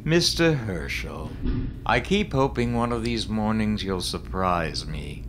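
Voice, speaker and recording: Disdainful, self-important male boss, acted: very slow, animated intonation, faint ambience behind. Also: fairly low pitch, slight background noise. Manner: pompous, deliberate. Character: pompous, disdainful male hotel manager. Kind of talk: period audio drama acting.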